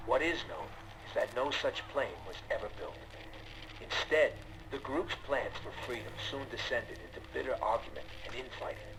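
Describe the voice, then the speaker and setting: An actor playing a former Alcatraz prison guard, recounting a grim tale in a measured, formal, dramatic way for a game's audio log. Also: male voice, mid-range voice, natural voice. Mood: grave, measured. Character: former Alcatraz prison guard, solemn storyteller of grisly crime. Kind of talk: scripted audio log narration. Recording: very noisy old audio log.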